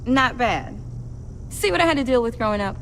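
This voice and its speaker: Game role: playful, confident young woman inventor, highish voice, bouncy intonation, loves to tease. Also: expressive, moderate pace, a bit bright, a little hiss or hum. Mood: playful, proud. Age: young adult. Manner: playful, self-assured. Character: cocky, teasing young female tech whiz. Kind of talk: video game voice acting.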